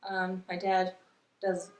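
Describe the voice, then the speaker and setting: A woman's voice: lowish voice, completely flat delivery, slow pace. A woman in her thirties chatting casually and giggly in a couple's question-and-answer vlog. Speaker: vlogger mother. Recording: roomy indoor echo.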